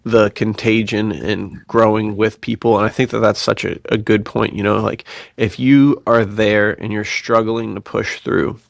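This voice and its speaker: A man with a fairly low voice and plenty of vocal fry chats as a podcast co-host. He talks in a loose, slangy, agreeable way, and the recording is close and dry. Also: steady tone, normal speed, few pauses, dry recording. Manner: slangy and agreeable, calls his co-host dude. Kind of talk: casual conversational podcast chat.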